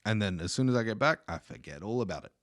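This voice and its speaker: Foul-mouthed man, lively low voice. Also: quick pace, no room sound, studio quality. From Australia. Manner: crude, swears a lot, loose and joking. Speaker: podcast host. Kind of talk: casual profane interview chat.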